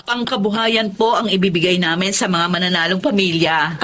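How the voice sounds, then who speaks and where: A woman's voice: fairly low pitch, steady tone, normal speed, a bit bright, a little hiss or hum, natural voice. An actress playing a kind, civic-minded married woman in a Tagalog radio drama, gracious and earnest. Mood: earnest, modest.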